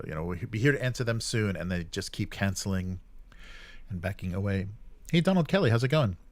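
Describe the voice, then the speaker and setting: A man hosting a tabletop gaming livestream, chatting casually and reading out viewer comments in a friendly, informal, halting way. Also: fairly low pitch, no room sound.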